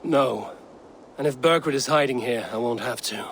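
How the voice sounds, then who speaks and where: An actor playing a young Viking raider in a video game, terse, decisive and commanding. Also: male voice, mid-range voice, normal speed, fairly bright tone, slight background noise, lightly acted. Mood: steady, impatient. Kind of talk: video game dialogue acting. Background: background music.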